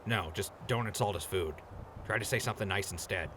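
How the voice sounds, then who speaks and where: A wry, sardonic ghoul played by a man, low in pitch and quick on his feet in speech. He needles like a sensible friend in a post-apocalyptic audio drama, casually and brightly, with faint noise behind. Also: natural voice. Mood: exasperated, coaxing.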